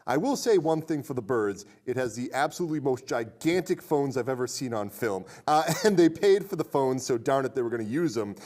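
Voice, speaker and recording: A man hosting a casual movie review podcast, talking conversationally and reading aloud with animated emphasis. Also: mid-range voice, fairly quick, few pauses. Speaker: podcast host.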